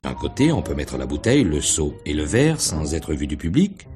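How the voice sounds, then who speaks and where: Very deep-voiced police inspector. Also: male voice, sing-song, over music. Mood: methodical questioning. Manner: hesitant, deliberate questioning. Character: probing middle-aged male police inspector, hesitant yet sly. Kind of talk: French radio mystery play acting in 1950s manner.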